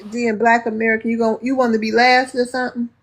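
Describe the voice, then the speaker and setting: A woman's voice: fairly high pitch, little pitch movement, fast, over a noisy crowd. Bossy woman moderating a panel. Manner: bossy, keeps time, calls turns. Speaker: host, moderator.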